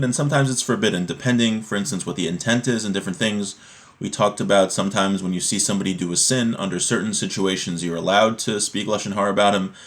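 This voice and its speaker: A man giving an informal religious lesson, reading a text aloud and explaining it step by step, often checking that listeners follow. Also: medium pitch. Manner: explanatory, checks understanding with rhetorical tags like "right?".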